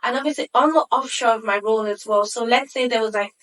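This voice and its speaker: A woman's voice: fairly high pitch, fast, a bit bright, very echoey, recorded from a distance. A young woman, a senior nurse, talking casually as an interview guest, with lots of fillers and restarts. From Ireland. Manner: chatty, many fillers and restarts. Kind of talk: casual conversational storytelling.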